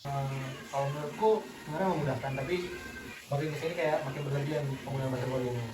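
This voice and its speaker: Young male student presenter, brisk, relaxed. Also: mid-range voice, roomy sound, far from the mic, music in the background, low-quality recording. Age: young adult.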